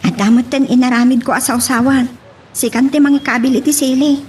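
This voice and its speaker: Lady playing a high pitched gossipy maid in an ilocano radio drama, concerned, noisy audio. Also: fairly even intonation, brisk, darkish tone, lightly acted. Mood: bustling, concerned. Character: female household servant, gossipy and concerned. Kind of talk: Filipino radio drama acting. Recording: clear background noise.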